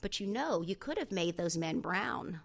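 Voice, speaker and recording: A woman voicing a gentle, courteous male lawyer in an audiobook, asking and speaking in a measured way. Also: fairly low pitch, lively intonation, gravelly. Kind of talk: audiobook character voice.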